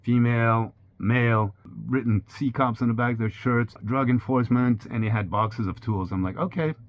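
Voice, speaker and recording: A man's voice: mid-range voice, normal speed. Sixties man, French native, telling a story. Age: 60s. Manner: plain, candid retelling with steady pacing. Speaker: sailor vlogger. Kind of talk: personal storytelling narration. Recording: slightly dull with faint hiss.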